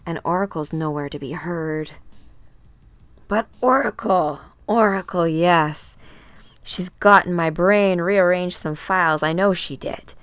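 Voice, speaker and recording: A woman giving a dramatic, theatrical read-aloud of a comic book, acting out the characters slowly and deliberately. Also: on the low side, clean tone, no echo. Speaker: comic book reader performing all characters.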